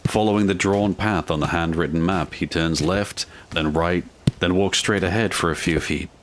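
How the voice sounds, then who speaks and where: Male audio drama narrator. Deep register, measured solemn read, slight background noise.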